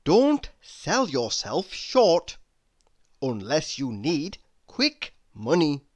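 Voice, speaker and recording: A man reading humorous quotes aloud in a deliberate, mock-inspirational manner. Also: a little high, wildly swooping pitch. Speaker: reader.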